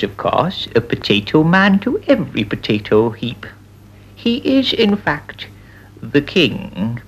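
A man's voice: a little high, slightly rough, heavily acted, rough recording. A boastful potato king. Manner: pompous, self-admiring, sing-song rhymes.

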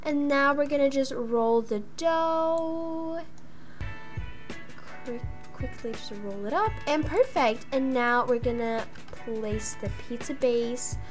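High-pitched girlish young woman over music. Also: takes it slowly. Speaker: gaming video creator. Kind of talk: voiced roleplay and gameplay narration.

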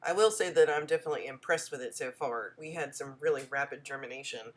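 A woman's voice: extremely low pitch, fast talker. A woman with a stuffy head cold narrating her homestead vlog in a chatty voice-over, full of ums. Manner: chatty, friendly, many ums. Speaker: homestead vlogger.

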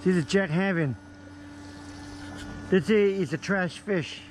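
A middle-aged man chatting excitedly while fishing from a small boat outdoors, whooping and laughing at each catch, recorded on an action camera. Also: high-pitched, slow, slight background noise. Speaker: fishing vlogger.

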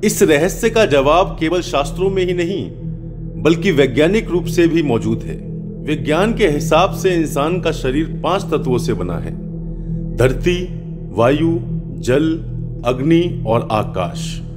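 A man hosting a horror podcast, delivering scripted narration in a dramatic, suspenseful way with emphatic, drawn-out key words. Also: on the high side, lively intonation. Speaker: host of a horror story podcast. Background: background music.

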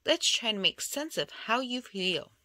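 A woman reading a children's picture book aloud in an expressive storytelling style. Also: a little high, normal speed, no room sound. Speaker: storybook reader.

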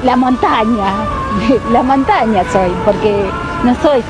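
A woman's voice: a little high, slow, over loud music, low-quality recording. A woman, a team runner, chatting casually in an outdoor interview. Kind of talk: interview soundbites.

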